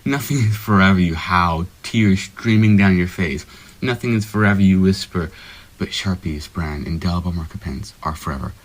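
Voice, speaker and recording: A man playing a grave, existential pitchman in a parody radio advert, speaking ominously and portentously. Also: moderate pace, low-quality recording. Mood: intense, insistent. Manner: ominous, portentous, building to intensity. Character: grave, doom-laden existential pitchman for marker pens.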